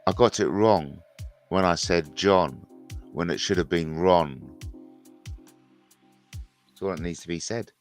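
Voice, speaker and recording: A man's voice: deep voice, slow, pauses often, soft background music. A man in his fifties hosting a rambling, jokey livestream chat, repeating himself and mentioning his speech impediment. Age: 50s. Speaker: livestream host.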